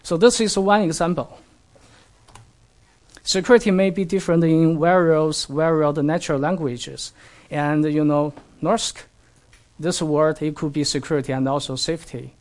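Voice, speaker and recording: A man whose first language is Chinese, giving an academic lecture in halting English with many fillers and asking the audience questions. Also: fairly high pitch, steady tone, a little mellow. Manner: halting non-native English with frequent fillers.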